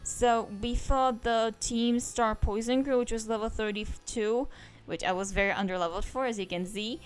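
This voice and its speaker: A woman's voice: on the high side, steady tone, moderate pace. Chatty woman streamer. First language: Czech. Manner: rambling and chatty, trails off into asides. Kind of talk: casual let's-play commentary.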